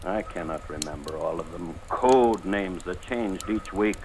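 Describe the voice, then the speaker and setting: An actor playing a proud, bitter former resistance leader confessing in a radio drama, with clipped, formal, declamatory phrasing. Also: male voice, deep voice, a little slow, a little mellow. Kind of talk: 1950s radio drama acting. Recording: noisy old radio broadcast recording.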